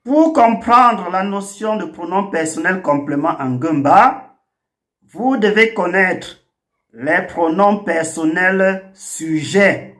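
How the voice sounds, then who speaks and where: A man with a fairly high voice teaches a language lesson at an unhurried pace. He lays out each word carefully and pauses between items. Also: fairly bright tone. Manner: slow, deliberate, spells out words one by one. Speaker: language teacher and channel host.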